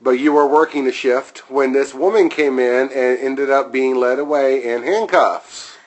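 A man hosting a podcast in profane casual chat, with a loud, powerful voice and crude, swearing humor. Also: mid-range voice, fairly even intonation, measured pace, low-quality recording. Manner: crude, swearing, laughs at own stories. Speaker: podcast host.

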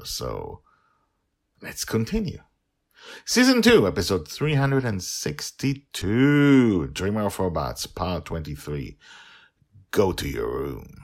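A deep-voiced man hosts a story podcast. His voice is husky and his delivery is dramatic, swinging widely in pitch as he stresses his words. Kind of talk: expressive scripted story narration and podcast talk.

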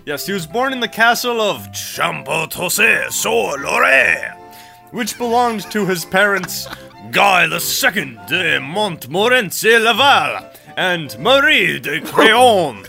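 A man's voice: high-pitched, sing-song, slow, creaky, bright, forceful tone, soft background music. A man co-hosting a podcast, telling history stories casually with irreverent, profane, mock-dramatic asides and banter. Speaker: podcast co-host.

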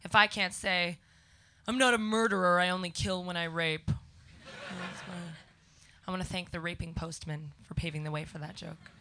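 A young Canadian woman with a scratchy voice doing a deadpan stand-up set before a live audience. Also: on the low side, moderate pace. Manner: deadpan one-liners to a crowd. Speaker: stand-up comedian guest.

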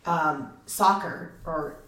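A middle-aged woman hosting an interview, chatty and quick to laugh. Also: deep voice. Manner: warm, chatty, laughs often. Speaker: podcast host. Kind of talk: casual interview. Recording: roomy, slightly distant mic.

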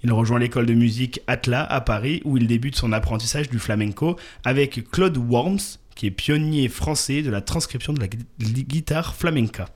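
A man's voice: medium pitch, moderate pace, few pauses, darkish tone. A man co-hosting a local radio show, casually presenting a musician's biography, often hesitating with fillers and joking about his own pronunciation. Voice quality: hesitant, many "euh" fillers and restarts. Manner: jokey, apologizes and laughs at his own pronunciation. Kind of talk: casual radio chat, reading an artist bio.

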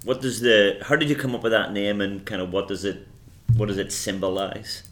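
A man's voice: fairly low pitch, fairly even intonation, a little fast, fairly bright tone, slight background noise. Man hosting a podcast interview. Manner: friendly, questioning interviewer. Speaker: podcast host.

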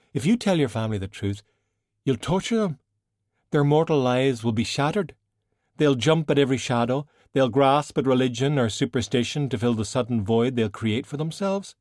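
A man voicing a composed, worldly sorceress, measured and cool. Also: mid-range voice, expressive, a little mellow, dry recording, studio quality, pitched above the performer's own voice, close to an everyday voice. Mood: stern warning. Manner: measured, formal, admonishing. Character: worldly, composed, cool woman sorcerer, long-lived and stern.

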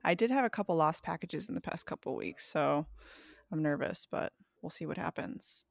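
A woman's voice: sits low, animated, normal speed, gravelly. A woman co-hosting a business podcast in upbeat conversation and interviewing a guest. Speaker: podcast co-host. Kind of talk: casual podcast chat.

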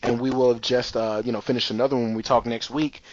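A man's voice: mid-range voice, little pitch movement, fast talker. Chatty podcast host man, dull mic. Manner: loose and chatty, full of "you know" and "like". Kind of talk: casual podcast chat.

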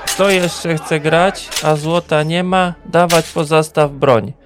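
A man playing a sly, commanding tavern gambling hustler in a fantasy audio drama, with a gravelly voice and sing-song, curt delivery. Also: fairly high pitch, steady tone, slow pace. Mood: calm, commanding. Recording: noisy tavern crowd ambience.

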